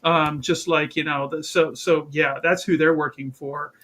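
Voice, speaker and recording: Man, tabletop player, bright forceful voice, fairly high, eager casual chat, repeats phrases. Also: little pitch movement, measured pace. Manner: eager, often repeats himself.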